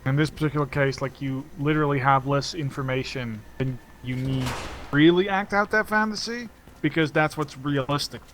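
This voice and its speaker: A man with an average-pitched voice joins a game stream as co-commentator. He speaks casually but analytically, laying out his points in measured phrases. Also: fairly bright tone, a little hiss or hum.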